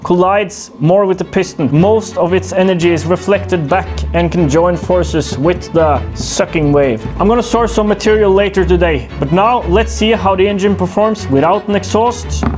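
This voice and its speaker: A man's voice: sits high, normal speed, hardly any pauses, over music. A man narrating a workshop vlog, explaining things technically and thinking aloud as he goes. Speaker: host of a DIY engine-building channel.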